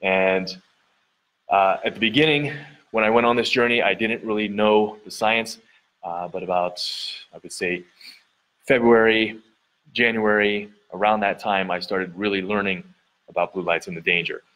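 Earnest lower-voiced man touring his gym. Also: fairly even intonation, normal speed, a bit bright, poor audio. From Central Ohio, US. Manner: earnest, repetitive explaining with many fillers. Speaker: gym owner and trainer. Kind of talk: casual walkthrough vlog. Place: large dim indoor gym studio. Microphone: handheld camera mic at arm's length.

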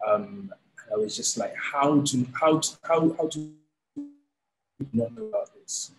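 A man, telling his story in a relaxed, animated way on a video call interview. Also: fairly high pitch, unhurried, soft background music, low-quality recording. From England. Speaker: founder of a fighting league, guest. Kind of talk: casual interview. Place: indoors, plain room. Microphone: studio mic on arm, video call.

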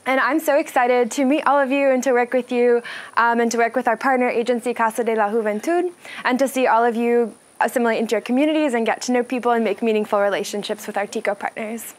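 A woman's voice: on the high side, steady tone. A young woman from Oregon introducing herself as project director, eager and running her sentences together, on a lapel mic outdoors. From Portland, Oregon. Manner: eager, fast run-on sentences. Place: outdoors beside a stone house.

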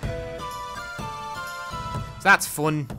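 A young man giving casual live gameplay commentary, thinking aloud in a wry, sweary way. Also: extremely slow. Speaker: streamer, speedrunner. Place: indoors, at a desk with webcam. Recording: loud game music behind. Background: video game music.

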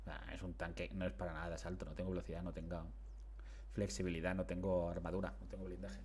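A man's voice: low voice, little pitch movement, quick pace, darkish tone, slight background noise. A man, commenting casually on his game through a headset mic, chatty and self-mocking. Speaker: game streamer. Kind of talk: casual live commentary on gameplay.

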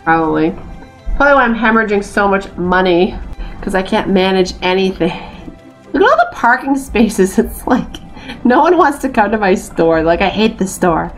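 A woman's voice: medium pitch, big pitch swings, normal speed, faint music behind, rough recording. A young woman with a Boston accent, chatting casually and getting exasperated as she plays a store-management game on stream. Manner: chatty, exasperated, talks to herself while playing. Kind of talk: casual gameplay commentary. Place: small room indoors. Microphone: headset.

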